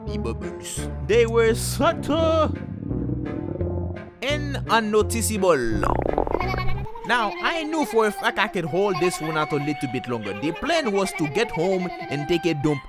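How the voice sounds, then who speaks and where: Animated high-voiced Haitian storyteller. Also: male voice. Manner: playful, theatrical, switches into voices. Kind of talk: comedic storytelling.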